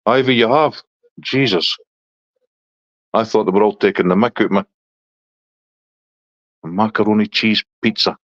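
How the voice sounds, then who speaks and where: A man's voice: fairly low pitch, moderate pace, long pauses, fairly bright tone, no echo, muffled recording. A middle-aged man from Scotland with a Scottish accent, chatting casually on a live stream and answering viewers' comments.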